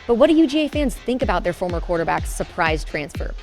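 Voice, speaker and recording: A woman's voice: lowish voice, big pitch swings, fast, darkish tone, soft background music. A young woman anchoring a student sports news show, with a polished, upbeat delivery as she reads scripted links. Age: young adult.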